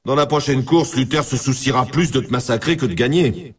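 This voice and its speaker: A man playing an excitable race announcer in a snowboarding video game, emphatic and talking straight to the player in a familiar, needling way. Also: medium pitch, a little fast, husky, far from the mic, lightly acted. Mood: teasing warning. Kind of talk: hyped sports commentary for a video game.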